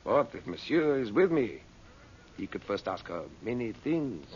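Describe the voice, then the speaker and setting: An actor playing a sly, mock-humble sorcerer, over-polite in broken English, in radio melodrama style. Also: male voice, medium pitch, sing-song, unhurried, a little mellow. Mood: secretive. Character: sly, obsequious male foreign sorcerer, mock-humble schemer. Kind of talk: radio serial melodrama acting. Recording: muffled, hissy old radio recording.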